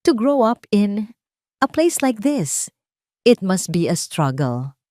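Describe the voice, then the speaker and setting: A woman voicing a sweetly condescending rich young woman in an audio story, gentle and sugary, mixing English into Tagalog. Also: medium pitch, very animated, slow pace, dry recording, clean studio sound, pitched above the performer's own voice. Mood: false sympathy.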